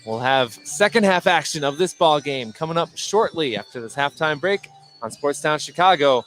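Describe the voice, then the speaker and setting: An American man calling live high school basketball play-by-play for radio. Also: lively intonation, moderate pace, fairly bright tone, faint music behind. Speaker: play-by-play sports announcer. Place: courtside in a school gym. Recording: noisy gym broadcast, bouncing balls behind. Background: gym ambience, basketball bounces.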